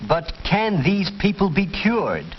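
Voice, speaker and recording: A man's voice: sits high, sing-song, slow pace. A man voicing scripted questions in a 1950s film in an earnest, questioning way. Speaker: questioner in a dramatized dialogue. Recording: dull old film soundtrack with loud hiss.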